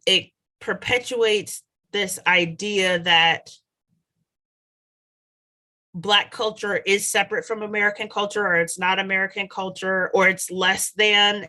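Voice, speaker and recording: Woman, 30s, scholar, measured lecture, bright tone, headset, home study. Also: mid-range voice. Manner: measured, thoughtful, with occasional restarts. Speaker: scholar explaining her theory. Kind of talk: solo video lecture. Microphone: headset on a video call.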